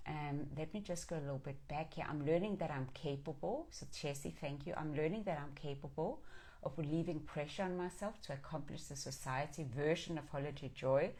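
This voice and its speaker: Woman, middle-aged, from Denmark, low voice, earnest and affirming, reflective inspirational live talk. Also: darkish tone. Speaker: live stream host. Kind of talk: reflective inspirational talk, reading and answering viewer comments.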